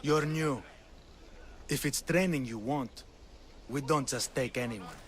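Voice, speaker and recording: A man's voice: medium pitch, slow, fairly bright tone, slight background noise. An actor playing a proud, earnest male athletic trainer of ancient Greece in a video game. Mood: guarded, courteous. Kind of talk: video game cutscene acting.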